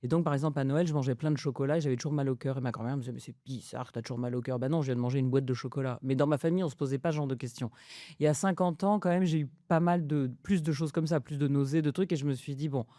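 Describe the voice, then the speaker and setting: A woman's voice: a bass voice, fairly even intonation, racing, warm tone, no echo, studio quality. A woman in her fifties, a food journalist chatting casually as a guest, hesitant with many fillers and easy laughs. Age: 50s. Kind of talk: casual conversation.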